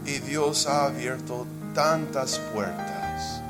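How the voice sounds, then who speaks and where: A man's voice: medium pitch, takes it slowly, over loud music. A man preaching a sermon in Spanish, though English is his first language, with impassioned delivery and occasional slips into English. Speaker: pastor.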